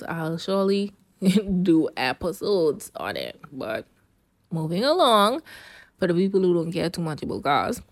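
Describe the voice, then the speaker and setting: A young woman with a lowish voice hosts her podcast solo in a relaxed, chatty way. She laughs easily and talks straight to her listeners. The sound is dry and close, with no room echo. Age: young adult. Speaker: podcast host. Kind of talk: casual solo podcast chat. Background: rain.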